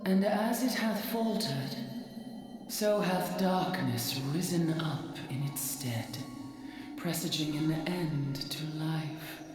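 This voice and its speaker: Casting: solemn goddess of light, game cutscene, glacial stately delivery, heavy echo. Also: female voice, lowish voice. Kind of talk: archaic, scripted video game oration. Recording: echoing voice under loud music.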